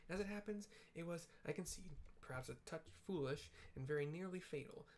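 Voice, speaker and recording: A man's voice: on the high side, a little hiss or hum. A man voicing a small, timid male engineer who stammers and frets in fussy, polite tones.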